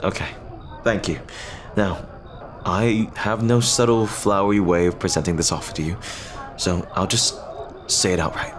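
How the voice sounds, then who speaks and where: Guy doing a low voiced pirate captain in love, halting and tender, old-timey wording, asmr roleplay. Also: steady tone, a crowd in the background, close to an everyday voice. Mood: earnest, hesitant. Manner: halting, earnest, formal old-fashioned phrasing. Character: earnest lovestruck male pirate captain, hesitant and tender. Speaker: audio roleplay performer.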